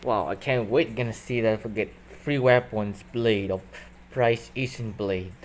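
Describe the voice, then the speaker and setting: Low-voiced man doing game commentary. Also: slight background noise. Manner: halting, loose broken phrasing. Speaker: gaming video maker. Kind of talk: casual gameplay commentary. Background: loud game music.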